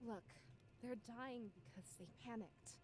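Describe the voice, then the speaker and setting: A woman's voice: on the high side, a little slow, natural voice. Lady voicing a level-headed fantasy game archer gal, earnest and firm, noise behind her. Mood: composed, reproachful. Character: level-headed, principled female archer companion. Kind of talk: video game voice acting.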